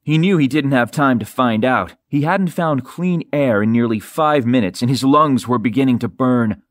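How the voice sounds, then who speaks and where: A man reading an audiobook as a narrator, with dramatic, expressive and suspenseful delivery, close to a studio microphone. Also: medium pitch, normal speed, no room sound. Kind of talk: dramatic scripted fiction narration.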